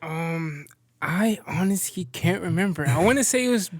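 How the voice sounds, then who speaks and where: A young man from Central Florida talking as a guest in a casual chat, relaxed and slangy, laughing easily. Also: high voice, normal speed, no echo, studio quality. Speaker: guest content creator.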